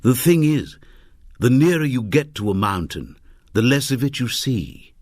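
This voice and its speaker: Man acting a raspy older scientist. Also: mid-range voice, sing-song, a little mellow, no room sound, studio quality, pitched above the performer's own voice. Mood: musing. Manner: measured, faintly obscure. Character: mild, measured older male scientist passenger.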